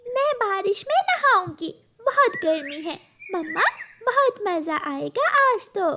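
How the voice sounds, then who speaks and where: A woman's voice: extremely high pitch, big pitch swings, unhurried, sharp tone, slight background noise, pitched above the performer's own voice, heavily acted. A woman voicing a sweet, curious little girl bird in a children's cartoon. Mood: excited. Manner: childlike, eager.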